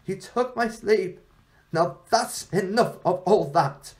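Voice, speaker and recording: A man's voice: sits high, slow pace, darkish tone, pitched above the performer's own voice. A man voicing a very old, weary, fed-up witch woman in a storybook reading. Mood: weary, angry.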